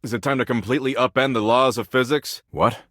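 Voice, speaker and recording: A man's voice: mid-range voice, fairly even intonation, fast, a bit bright, no room sound, studio quality, close to an everyday voice. A man voicing a video game embodiment of existential dread, a jaded, foul-mouthed slacker with a flippant, laid-back, slangy drawl. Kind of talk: video game character voice lines.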